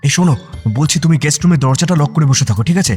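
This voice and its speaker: An actor playing an easygoing but jittery young student in a radio drama, casual and excitable. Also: male voice, on the high side, quick pace, soft background music, natural voice. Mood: panicked. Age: young adult.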